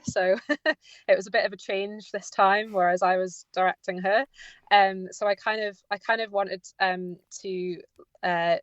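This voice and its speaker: Woman, interviewed writer, lowish pitch, even delivery, conversational, dry slightly muffled sound. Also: no room sound.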